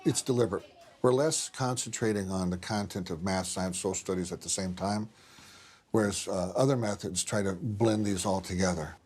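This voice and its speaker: A man's voice: sits low, normal speed, darkish tone, breathy edge. A man answering interview questions as a school superintendent, with blunt, folksy phrasing.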